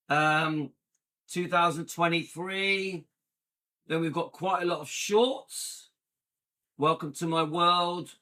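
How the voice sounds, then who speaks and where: A man's voice: fairly high pitch, sharp tone. A man hosting a vlog, chatting casually and rambling to his viewers while browsing videos. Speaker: vlog host.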